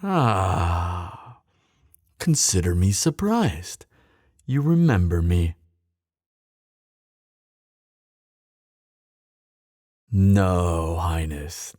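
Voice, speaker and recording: A bitter, mocking male thief played by a man speaks in a close, dry recording. His delivery swings widely in pitch, sardonic and theatrical. It is a fantasy roleplay spoken straight to the listener, hardened by grief. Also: big pitch swings, darkish tone, no echo, full character voice. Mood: rueful. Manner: sardonic, theatrical, deliberate pauses. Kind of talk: dramatic fantasy audio roleplay, addressed to listener.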